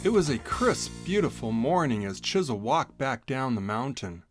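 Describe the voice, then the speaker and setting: Expressive man narrating a children's storybook. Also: mid-range voice, normal speed, soft background music.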